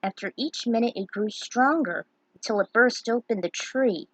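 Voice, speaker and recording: A woman's voice: fairly high pitch, moderate pace, a bit bright, husky, no room sound, low-quality recording. A woman reading a scripted fantasy story aloud as its narrator. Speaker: narrator reading own fan fiction.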